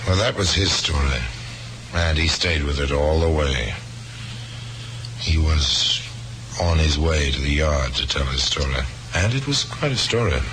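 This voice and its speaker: A male storyteller of crime tales on old radio. His voice is extremely deep, grave and deliberate, with a dramatic, knowing edge. Music plays clearly behind him. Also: animated. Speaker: narrator.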